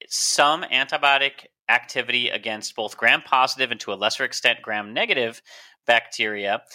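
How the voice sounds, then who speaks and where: A man's voice: medium pitch, sharp tone. A man co-hosting a casual science podcast, explaining research with a joking, self-mocking manner. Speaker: podcast co-host.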